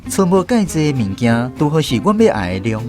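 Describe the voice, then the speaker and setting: Male first-person narrator for radio drama, Taiwanese accent, expressive mid-range storytelling over gentle music. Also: medium pitch, brisk.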